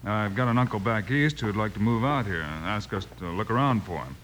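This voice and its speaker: A man playing a steady, commanding Old West marshal in a radio drama, with clipped delivery. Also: on the low side, brisk. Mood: calm, probing. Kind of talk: 1950s western radio acting. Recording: noisy old-time radio recording.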